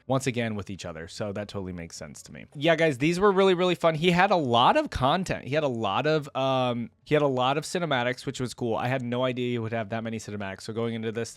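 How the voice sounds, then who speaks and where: A man's voice: mid-range voice, no echo. A man giving casual, rambling, enthusiastic commentary to his viewers as a reaction video creator. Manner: informal, rambling, enthusiastic about the game.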